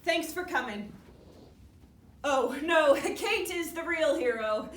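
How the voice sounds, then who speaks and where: A woman playing a grieving but upbeat widow from Seattle in a dramatic monologue, talking haltingly and conversationally as if interviewed for a documentary. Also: high voice, animated, fairly bright tone, voice pushed up, exaggerated voice. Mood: modest, friendly. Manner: halting, conversational, with nervous asides. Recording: distant roomy hall recording with faint noise.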